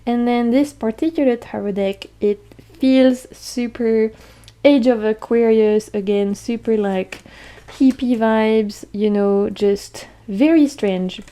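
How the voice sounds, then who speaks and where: Mellow-voiced chatty woman. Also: fairly high pitch, takes it slowly. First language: French. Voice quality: chatty, enthusiastic, laughs often. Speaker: tarot deck collector. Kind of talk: casual vlog chat showing decks.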